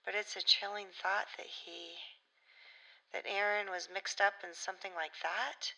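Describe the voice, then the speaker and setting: A woman's voice: medium pitch, fairly even intonation, moderate pace, gravelly, pushed and bright, low-quality recording. A woman playing a middle-aged, worried mother in an audio drama, speaking hesitantly and earnestly. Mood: anxious. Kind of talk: naturalistic audio drama acting.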